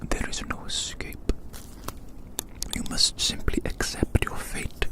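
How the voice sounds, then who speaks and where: A gloating giant speaks in a breathy whisper with no voiced tone, as in an ASMR roleplay. The delivery is playfully menacing and savouring, with phrases repeated as the giant toys with tiny prey. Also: takes it slowly. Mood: domineering, gloating. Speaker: ASMR performer.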